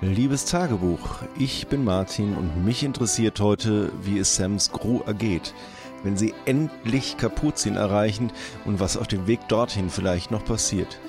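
A man's voice: lowish voice, measured pace. A man reading a diary-style introduction to an audio adventure. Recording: guitar music under the voice.

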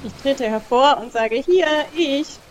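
A woman with a fairly high voice is a guest player in a role-playing game. She talks casually, presenting her character in the first person. Music runs behind her. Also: animated, measured pace.

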